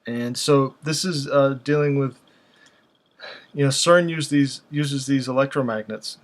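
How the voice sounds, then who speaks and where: A man giving casual, unscripted commentary as a video host, speaking haltingly as he thinks aloud. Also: mid-range voice.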